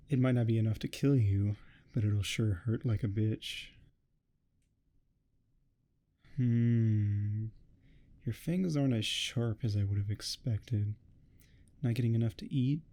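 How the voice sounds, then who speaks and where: Guy doing an asmr obsessed creepy neighbor who wants to be a vampire, super soft dark tone, pretty even pitch, theatrical and menacing. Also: natural voice. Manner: theatrical, grandiose monologuing, smugly threatening. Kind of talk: ASMR roleplay, dramatic monologue.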